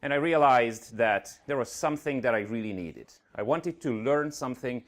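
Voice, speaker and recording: A man's voice: medium pitch, normal speed. A middle-aged man from Hungary with a slight Hungarian accent, giving an earnest conference talk with frequent fillers. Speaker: conference speaker. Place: conference venue stage.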